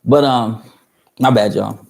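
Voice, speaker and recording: A man's voice: mid-range voice, fairly even intonation, slow pace, vocal fry, a little mellow. Rambling, profane man in his thirties. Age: 30s. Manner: rambling, profane, full of fillers and restarts; answers viewer chat mid-story. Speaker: host of a livestream for music producers. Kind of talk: casual livestream storytelling.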